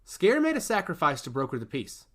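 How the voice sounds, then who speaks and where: Man acting god-touched schemer, fantasy story, smug, needling, theatrical, highly varied intonation. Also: huge pitch swings, a little fast, fairly bright tone, exaggerated voice. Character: smug, teasing god-touched male emissary, giggling schemer. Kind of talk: fantasy audio story character.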